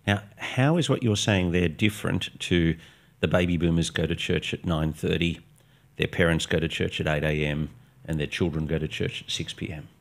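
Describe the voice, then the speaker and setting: Deep, gravelly older male host. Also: normal speed. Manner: probing, conversational, frequent "mm-hmm" backchannels. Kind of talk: interview questions. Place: studio set with armchairs. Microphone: boom-mounted podcast mic.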